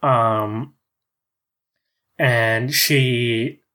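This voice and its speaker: Slow-talking tabletop player, hesitant, filler-heavy narration, mid-pitched voice. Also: fairly even intonation, fairly bright tone. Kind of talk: narrating a character in a tabletop game.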